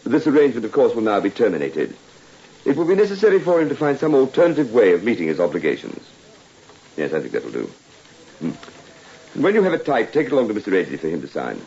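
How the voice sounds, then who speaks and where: An actor in an old-time radio drama playing a cold, scheming office boss, curt and formal in manner. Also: male voice, lowish voice, huge pitch swings, quick pace, mellow. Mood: businesslike. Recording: dull, lightly noisy old radio recording.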